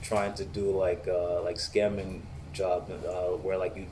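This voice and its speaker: Low, flat-voiced American police officer. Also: male voice, a little hiss or hum. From United States, Boston area. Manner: measured, steady, hesitant with many ums. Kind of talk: casual interview answers.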